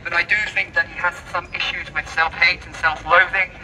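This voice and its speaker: A man's voice: sits high, level tone, brisk, bright, forceful tone. A man debating on the street through a megaphone in a declamatory, teasing way, repeating words for emphasis. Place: outdoors on a busy city street at night. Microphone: far camera. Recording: distant, noisy city street recording.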